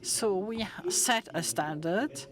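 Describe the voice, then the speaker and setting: A woman from Germany with a German accent, giving a halting conference talk full of restarts and fillers. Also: medium pitch, big pitch swings, a crowd in the background. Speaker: conference speaker. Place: conference hall with an audience.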